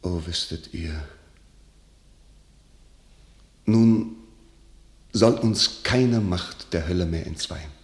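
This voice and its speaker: A man's voice: on the low side, reverberant. An actor playing a proud, passionate nobleman and warrior in a classical verse radio drama, declaiming with fervour. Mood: earnest, vowing. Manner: declamatory, fervent.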